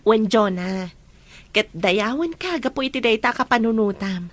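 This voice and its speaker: Casting: actress for playful young Filipino best friend, swooping intonation, highish pitch, radio-style drama. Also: female voice, lively intonation, normal speed, slight background noise, natural voice. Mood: approving, friendly. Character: teasing, chummy young workplace best friend. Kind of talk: Filipino audio drama acting.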